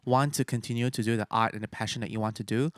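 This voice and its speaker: A man's voice: on the low side, fairly even intonation, fast, clear, resonant tone, no echo, studio quality. A young man with a Singaporean accent hosting a podcast in a casual, conversational interviewing style. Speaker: podcast host.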